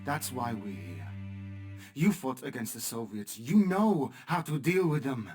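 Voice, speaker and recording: A man's voice: mid-range voice, big pitch swings, unhurried, a little mellow, soft background music. A man playing an earnest young resistance leader in a game, pleading and resolute. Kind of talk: game cutscene dialogue.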